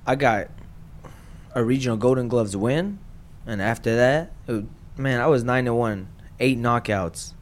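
A young man from Nashville, an amateur boxer, talking in a relaxed, halting way with lots of fillers in a casual interview. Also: mid-range voice. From Nashville, Tennessee.

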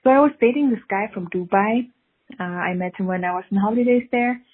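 A woman's voice: mid-range voice, fairly quick, husky. A woman from Switzerland with a Swiss accent, calling in to tell a dating story candidly and laughing through her embarrassment. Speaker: caller sharing a dating story. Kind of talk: casual recorded confession. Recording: tinny phone-line recording.

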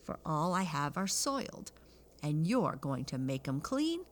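Menacing male giant, played by a woman, stern, curt, commanding, forceful delivery, storybook villain. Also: low voice, sing-song, measured pace. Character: stern, menacing giant man who commands his captive.

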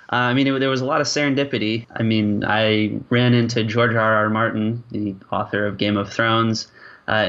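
A man's voice: fairly low pitch, fairly bright tone. Male linguist chatting, slightly muffled audio. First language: French and English. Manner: hesitant starts, peppered with "you know" and "like". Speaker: linguist and language creator being interviewed. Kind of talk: conversational interview answers.

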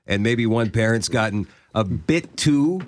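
Low-voiced Canadian man hosting a chat. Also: expressive, measured pace. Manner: lays out scenarios, quizzes co-hosts. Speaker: host. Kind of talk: casual radio chat.

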